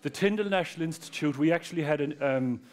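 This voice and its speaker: A man's voice: fairly high pitch, fast talker, a little mellow. A man with a Cork Irish accent giving a public talk to students, joking and addressing the audience directly. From Ireland. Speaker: guest speaker, research engineer.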